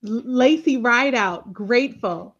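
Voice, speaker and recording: A woman's voice: on the high side, measured pace, a bit bright. Woman co-host on dull video call. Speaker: co-host of a live recap show. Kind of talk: casual live chat.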